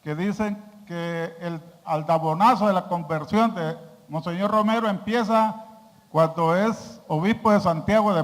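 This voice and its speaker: A man from Honduras with a Honduran accent, putting a question from the audience in a deliberate, courteous manner. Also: sits high. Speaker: audience member asking a question. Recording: echoey hall.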